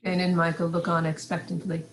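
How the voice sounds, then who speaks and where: A woman's voice: on the low side, flat delivery, a little fast, rough recording. A woman giving scripted narration, reading out stage directions for a play. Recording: some background noise.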